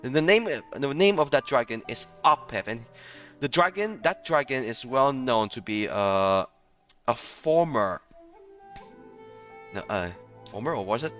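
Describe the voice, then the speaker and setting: A man talks casually through his game playthrough with music behind him. His pitch moves around a lot, and he rambles and halts, restarting thoughts and chuckling between phrases. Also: expressive, measured pace, long pauses, a bit bright. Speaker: gaming streamer.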